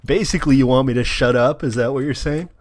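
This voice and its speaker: A man's voice: mid-range voice, fast talker. A man streaming a video game, chatting casually and reading chat aloud in a rambling, wry way full of fillers. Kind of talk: casual live-stream chat while playing.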